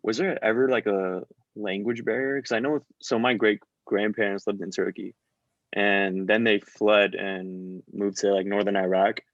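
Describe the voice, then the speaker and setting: A man's voice: on the low side, steady tone, normal speed, no echo. A young man asking a question as a student, hesitant and full of fillers. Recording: dull, dry lo-fi mic.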